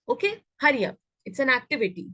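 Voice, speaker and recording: A woman's voice: high voice, big pitch swings, fast, bright, forceful tone, husky, no room sound. A woman with an Indian accent teaching an interactive online English lesson to young children, prompting them with repeated questions. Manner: instructive, repetitive questioning, prompting. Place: online video class.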